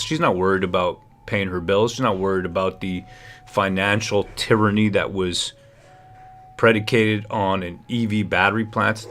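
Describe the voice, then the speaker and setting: A man with a low voice hosts a live commentary stream and presses his points hard to the audience. He sounds close to the mic. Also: a bit bright. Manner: emphatic, talks straight to viewers. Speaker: livestream host. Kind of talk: political commentary livestream.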